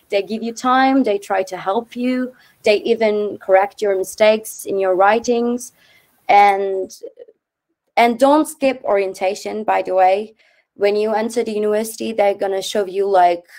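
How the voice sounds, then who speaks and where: A young woman from Turkey talks through a video call in an informal, chatty way. She is a native Turkish speaker, her voice sits at a middle pitch, and her speech is full of casual "like" fillers. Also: a little mellow. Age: young adult. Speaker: alumna speaker, PhD student. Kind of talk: informal webinar talk.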